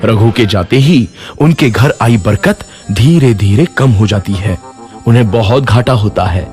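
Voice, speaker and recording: A man's voice: fairly low pitch, measured pace, a little mellow, soft background music. A man narrating a scripted moral story for children.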